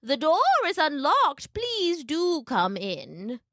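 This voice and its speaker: A woman's voice: sits high, huge pitch swings, a bit bright, no echo, clean studio sound, voice pushed up, exaggerated voice. A woman doing a wolf faking a granny voice. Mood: sickly sweet. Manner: slow, put-on quavering sweetness. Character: hungry male wolf faking a frail old grandmother.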